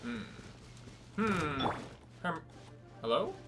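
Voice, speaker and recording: A man's voice: fairly high pitch, sing-song, drawn-out words. A man doing casual gameplay commentary as a streamer, with wry muttered asides and swearing. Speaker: game streamer. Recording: loud game music and effects behind.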